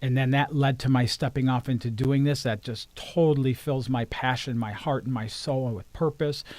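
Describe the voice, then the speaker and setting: A man's voice: medium pitch, steady tone, moderate pace, darkish tone, no room sound. A man, a life coach being interviewed, talking earnestly about his work and often repeating words as he starts a sentence. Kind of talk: casual interview conversation.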